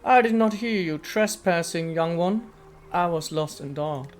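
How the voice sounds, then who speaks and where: A man's voice: a little high, a little slow, faint music behind, close to an everyday voice. A man voicing an ancient female nature spirit from a video game, speaking in a serene, formal, poetic manner. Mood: serene, aloof. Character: ancient female statue goddess spirit, serene, aloof, contemplative. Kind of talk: dramatic reading of video game dialogue.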